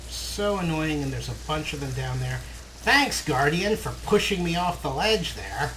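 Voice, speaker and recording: A man's voice: fairly high pitch, expressive, normal speed, bright, forceful tone, rough recording. A man giving casual, wryly musing commentary as he plays a video game. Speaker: let's play host. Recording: clear background game noise. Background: video game sound effects.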